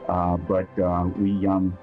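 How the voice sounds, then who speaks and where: A very deep-voiced man talks haltingly about his ferry work, pausing often with fillers. The sound is dull and muffled, with soft music underneath. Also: monotone. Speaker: ferry worker. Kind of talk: interview answers to camera.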